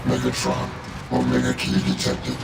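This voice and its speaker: A man voicing a cold, loyal robot intelligence officer in a video game, speaking in an emotionless, clipped monotone. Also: medium pitch, moderate pace, creaky, poor audio. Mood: flat, dutiful. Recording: loud game music behind.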